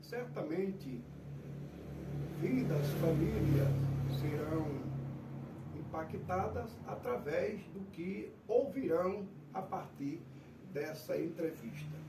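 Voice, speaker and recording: A pastor with a Northeastern Brazilian accent conducts an interview in a formal, ceremonious manner. His slightly high voice has a gravelly edge. He sounds off-mic in an echoey room with faint noise. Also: lively intonation, takes it slowly, distant mic. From Pernambuco, Brazil. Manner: ceremonious, polite, addresses guest formally. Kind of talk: formal church-style interview.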